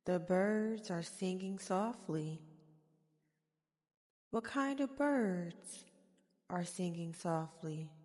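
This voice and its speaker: A woman guiding a meditation in a soft-spoken ASMR style, with soothing, gentle, deliberate phrasing. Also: on the low side. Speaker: guided meditation host. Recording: long reverberant echo.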